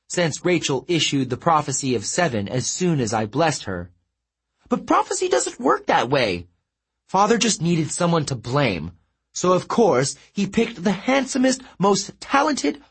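A man's voice: on the high side, breathy edge, pitched above the performer's own voice, full character voice. A man voicing a vain, melodramatic male sun god who wails and pities himself. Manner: wailing, theatrical and self-pitying.

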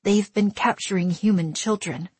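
Woman doing a gentle brave girl heroine, soft and earnest, slightly raised, breathy. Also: medium pitch, moderate pace, dry recording, close to an everyday voice. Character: brave, gentle young heroine rescuing a boy.

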